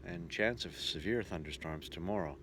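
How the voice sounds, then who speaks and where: A man's voice: deep voice, normal speed. A man chatting casually to camera as a travelling entertainer keeping a video diary. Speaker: touring radio-show entertainer and vlogger. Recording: outdoor recording with clear background noise.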